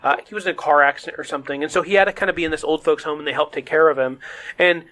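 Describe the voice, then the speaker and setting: A man co-hosting a podcast, chatting casually about games in an excitable, nostalgic storytelling way. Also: fairly high pitch, very fast. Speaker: podcast co-host.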